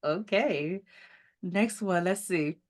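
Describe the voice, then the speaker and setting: A woman's voice: fairly low pitch, slow. Upbeat woman hosting a podcast. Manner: upbeat, enthusiastic, laughs often. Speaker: podcast host. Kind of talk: casual interview chat.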